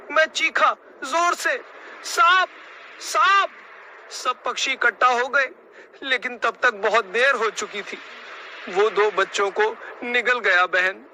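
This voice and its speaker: Very high, sharp, urgent parrot acted by a man. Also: slight background noise, heavily acted, low-quality recording. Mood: alarmed. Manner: dramatic, urgent. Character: alarmed, urgent parrot friend, brotherly and emotional. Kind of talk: children's cartoon story acting.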